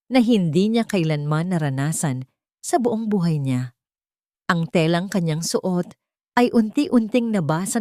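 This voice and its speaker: A woman narrating a dramatic scripted story with deliberate, theatrical phrasing. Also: lowish voice, darkish tone, dry recording, studio quality.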